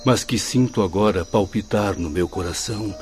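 An actor playing a humbled, awestruck spirit seeking redemption in a solemn, poetic radio drama monologue. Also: male voice, on the low side, moderate pace, darkish tone, faint music behind, lightly acted. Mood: awed, humble.

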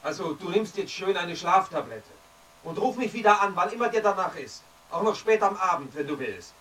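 An actor playing a loyal, concerned husband and best friend in a radio drama, speaking in a calming way. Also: male voice, high voice, fairly quick, distant mic, rough recording. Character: loyal, reassuring married man, best friend of a troubled caller. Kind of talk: radio play acting.

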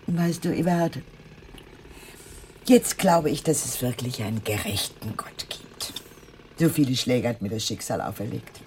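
An actress playing a giddy, extravagant older aunt in a German radio play, with an effusive, theatrical way of talking. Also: female voice, a bass voice, measured pace, darkish tone, a little hiss or hum. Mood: sentimental, wistful.

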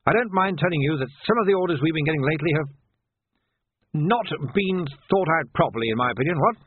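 A man voicing a high, flustered old captain. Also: lively intonation, fast, husky, no echo. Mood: earnest, hesitant. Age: 60s. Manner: hesitant, old-fashioned military bluster. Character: flustered, ageing police captain, nearly seventy, proud but doubting. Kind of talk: audiobook character voice. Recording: narrow, phone-like band.

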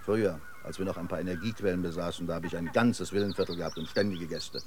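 An actor playing a chatty, eccentric local guide in a decayed city, rambling and effusively hospitable in a radio drama. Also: male voice, low voice, fairly even intonation, quick pace, a little hiss or hum, close to an everyday voice. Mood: wistful, hospitable. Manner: effusive, rambling, quirky hospitality, chuckles to himself. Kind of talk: German radio drama acting.

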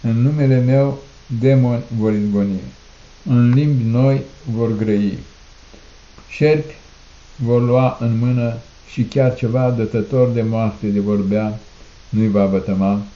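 A man reciting a solemn liturgical prayer in a declamatory manner, in measured phrases with repeated refrains. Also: medium pitch, steady tone, warm tone. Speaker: prayer reader. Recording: muffled, echoey room recording.

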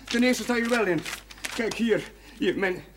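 A man's voice: high voice, a little slow. An actor playing an earnest, touchy chaplain, hesitant and defensive with his sister in naturalistic television drama dialogue. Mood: defensive, indignant. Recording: faintly noisy 1970s television soundtrack.

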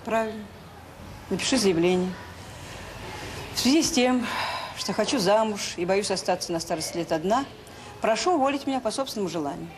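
An actress plays a knowing middle-aged orphanage worker in a radio drama. She speaks at a medium pitch, frankly and with measured, gentle irony, over a clearly noisy old recording. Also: expressive, natural voice. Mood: dry irony. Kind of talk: Soviet radio drama acting.

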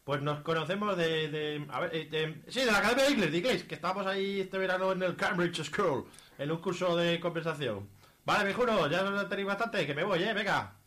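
A man's voice: high voice, lively intonation, a little fast, bright, forceful tone. A man playing a cheeky, blustering teenage punk in a radio soap, with an Aragonese accent and stammering, slangy delivery. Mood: flustered, evasive. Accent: Aragonese Spanish. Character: cheeky panicky working-class teenage punk boy. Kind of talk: amateur radio soap acting. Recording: clearly noisy recording.

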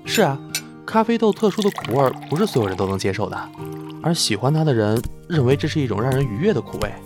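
A man's voice: medium pitch, big pitch swings, fast talker, over music, close to an everyday voice. A man playing an eager, devoted young male celebrity in an audio drama, earnest and keen to please. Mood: earnest, admiring.

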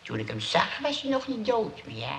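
A sly, simple man with a creaky, bright voice that jumps about. He is stubborn, halting and needling in radio play style, on a roomy old recording. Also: high voice, wildly swooping pitch, a little hiss or hum, exaggerated voice. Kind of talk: Dutch radio play acting.

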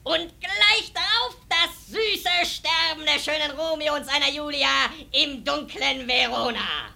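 A gleeful little squirrel showman from a radio play. The voice is high and piercingly bright with a creaky edge. It declaims with mock solemnity, like a barker selling horrors. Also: a little slow, exaggerated voice. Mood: sardonic relish.

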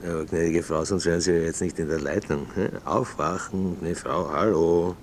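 An actor playing a glib late-night radio phone-in host with an Austrian accent and smooth, courteous showman patter. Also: low voice, fairly even intonation, a little fast, a little hiss or hum, natural voice. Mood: humouring, prodding. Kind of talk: radio drama acting as talk-show host.

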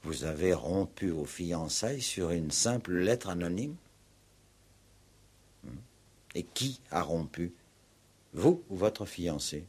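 An actor playing a probing, insistent, ironic police commissioner in a 1960s French radio play. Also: male voice, low-pitched, animated, slow pace, long pauses, darkish tone, slightly rough, exaggerated voice. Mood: probing, formal. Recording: old 1960s radio recording.

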